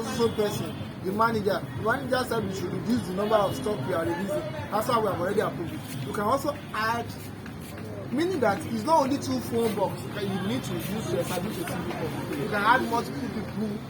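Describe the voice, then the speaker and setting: A man's voice: sits high, rough recording. A man with a Nigerian accent giving a technical classroom lecture as an instructor, often checking understanding with rhetorical questions. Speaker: instructor, trainer. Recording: loud street, traffic and crowd noise, music.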